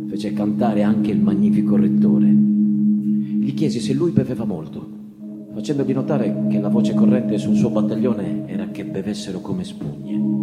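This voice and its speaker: A low-voiced man acting as a wistful First World War officer recites his memories of the trenches like literature on a stage. He stretches words and lets his emphasis swell and fade, in a strongly echoing sound over prominent guitar and percussion music. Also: natural voice. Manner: theatrical, with drawn-out words and swelling and fading emphasis. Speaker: actor, reciting voice.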